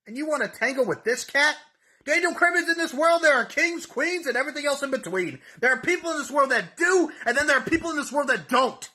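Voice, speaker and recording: A man's voice: very high-pitched, sharp tone. A man cutting a boastful, taunting wrestling-style promo for a podcast contest. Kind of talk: wrestling trash-talk promo.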